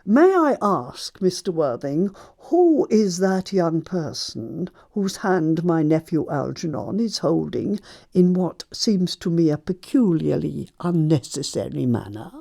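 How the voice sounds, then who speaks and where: An actress playing a haughty, imperious older aristocratic aunt in a stage comedy, pompous and deliberate. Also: female voice, sits low, big pitch swings, vocal fry, dark tone, heavily acted. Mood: incredulous, disdainful. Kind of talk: theatrical stage comedy acting.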